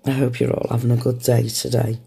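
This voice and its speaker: Gravelly woman with a cold. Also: very deep voice, flat delivery, fairly quick, mellow, a little hiss or hum. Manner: apologetic, chatty. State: has a cold. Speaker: craft vlogger. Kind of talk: casual haul show-and-tell. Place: indoor craft desk at home.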